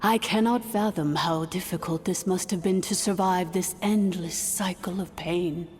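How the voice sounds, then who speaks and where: A woman's voice: lowish voice, a little slow, a little mellow, slight background noise, close to an everyday voice. A woman voicing a solemn, commanding ancient priestess in a video game, with a stately, archaic manner. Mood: sombre sympathy. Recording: long hall reverb.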